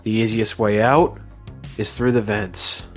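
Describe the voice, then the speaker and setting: A man's voice: on the low side, fairly even intonation, unhurried, soft background music. A man playing a tabletop game, talking through his moves in casual role-play banter.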